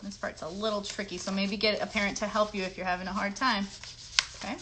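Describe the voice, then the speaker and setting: A woman's voice: mid-range voice, flat delivery, fast talker, noisy background. A woman hosting a children's craft show, giving simple step-by-step directions in an encouraging way and putting questions to the young viewers.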